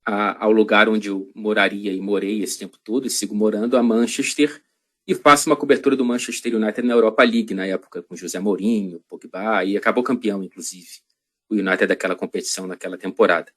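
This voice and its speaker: A Brazilian man, a journalist guest on a podcast, telling a long story in a reflective, halting way with many restarts. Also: lowish voice, steady tone.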